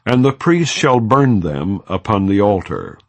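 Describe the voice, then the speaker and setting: Deep-voiced man reading scripture. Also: big pitch swings, no echo. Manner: measured, formal recitation. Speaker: audiobook narrator. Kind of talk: solemn scripture reading, non-dramatized audiobook.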